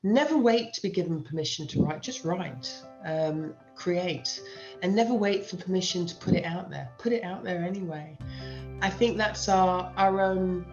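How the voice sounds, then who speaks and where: A woman's voice: lowish voice, a little mellow. A middle-aged British woman who teaches writing, answering interview questions with emphatic advice and repeating key phrases for stress. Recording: dull, lo-fi sound.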